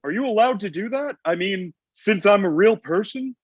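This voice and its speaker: A man's voice: high voice, expressive, moderate pace, slightly rough, highs cut off. A man playing a grumpy, ancient Santa Claus in a comedy table read, with a blunt, testy, conversational manner. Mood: frank.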